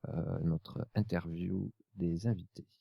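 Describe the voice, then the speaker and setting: A man hosting a casual podcast chat, speaking in halting, hesitant phrases. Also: sits low, steady tone, creaky, over loud music. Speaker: podcast host.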